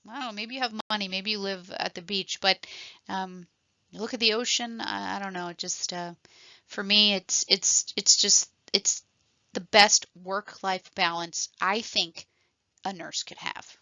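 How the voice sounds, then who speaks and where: A woman nurse talks through an online course in a loose, enthusiastic way, stumbling over restarts and fillers. Her voice is bright and forward, and the dry, slightly dull recording has no room sound to it. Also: mid-range voice, steady tone, unhurried, gravelly, dry recording. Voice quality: self-described voice like a frog puppet. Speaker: telephone triage nurse and course presenter. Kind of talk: casual motivational presentation.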